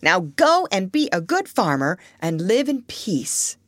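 A woman reading aloud, voicing a kindly but stern male doctor in a storybook, speaking slowly and firmly. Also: mid-range voice, very animated, no room sound, clean studio sound. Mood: warning. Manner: slow, firm and earnest.

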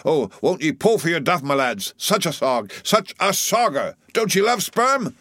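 A man voicing an excitable, greedy, cruel whaleboat mate in an audiobook, pleading frantically in repeated exclamations. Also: mid-range voice, unhurried, no echo, studio quality. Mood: frantic pleading. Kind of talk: audiobook storybook character voice.

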